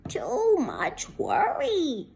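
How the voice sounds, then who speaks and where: A woman voicing an old grandmother mouse in a storybook, grumbling and dismissive in a repetitive, drawn-out chant. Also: sits high, wildly swooping pitch, creaky, darkish tone, a little hiss or hum, exaggerated voice. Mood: chiding.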